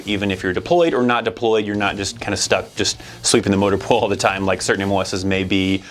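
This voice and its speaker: Male explainer host, lowish pitch, rapid conversational delivery, light music bed. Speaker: video host. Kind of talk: informational explainer to camera, conversational.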